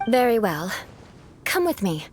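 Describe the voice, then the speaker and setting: A woman's voice: medium pitch, sing-song, a little slow, slight background noise. A woman playing a proud, formal young noblewoman in a video game, haughty and precise, as if giving lessons. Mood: instructive, formal.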